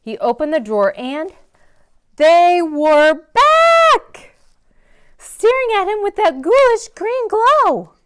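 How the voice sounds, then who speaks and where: A woman's voice: very high voice, very animated, takes it slowly, fairly bright tone, a little hiss or hum. A woman hosting a story time in a library, reading a picture book aloud to children with dramatic emphasis on key words. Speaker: read-aloud host in a library.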